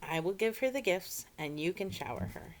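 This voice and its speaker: A woman's voice: fairly low pitch, expressive, moderate pace, slight background noise, natural voice. A woman reading the part of a cheerful, literal-minded housekeeper in a storybook, earnest and matter-of-fact. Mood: earnest, unbothered.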